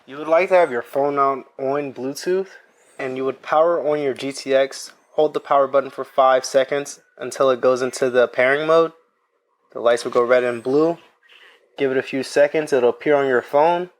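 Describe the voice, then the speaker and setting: A man's voice: medium pitch, steady tone, moderate pace. A man presenting setup steps in an instructional product tutorial.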